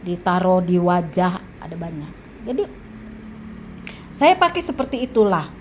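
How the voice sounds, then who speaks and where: A woman in her seventies with an Indonesian accent, talking casually about home remedies, pausing often with fillers and restarts. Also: fairly low pitch, very animated, slight background noise. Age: 70s. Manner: halting, with frequent fillers and restarts. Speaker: health vlogger. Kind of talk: casual advice talk.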